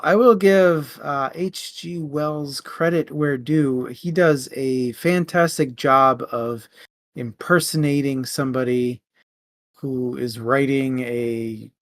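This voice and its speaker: Man, slow pace, mid pitch, informal, halting, word-searching, casual book discussion. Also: no echo.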